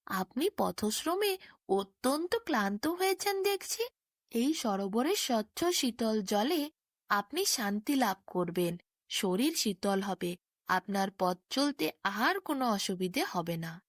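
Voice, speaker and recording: A woman's voice: a little high, very animated, takes it slowly, no echo, clean studio sound, exaggerated voice. A woman voicing a wicked, menacing male water demon in a taunting, commanding way for a bedtime fairy tale. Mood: slyly coaxing.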